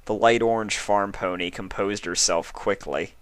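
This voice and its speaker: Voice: deep voice, normal speed, no room sound. Storybook narrator, dry close sound. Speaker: narrator, fan fiction reader.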